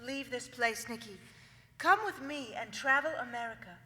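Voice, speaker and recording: A woman's voice: a little high, moderate pace, sharp tone. A woman in a musical theatre reading playing a grand, flirtatious, imperious French stage actress with a theatrical, coaxing manner. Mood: concerned, pleading. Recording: echoey theatre hall, piano accompaniment.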